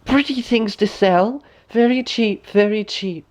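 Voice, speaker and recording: A man voicing a sly old peddler woman in a storybook, with drawn-out, wheedling patter. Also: sits high, pitched above the performer's own voice. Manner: drawn-out, coaxing hawker's patter.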